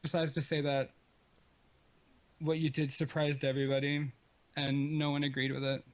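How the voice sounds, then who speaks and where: A man arguing in a voice chat in a measured, disapproving and wordy way. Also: on the high side, flat delivery. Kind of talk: argument in a voice chat.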